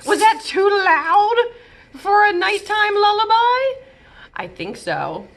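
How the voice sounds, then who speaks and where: A woman's voice: very high voice, very animated, slow, a bit bright. A woman hosting a storytime, talking playfully and animatedly to young children as a children's librarian would. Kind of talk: children's storytime read-aloud and chat.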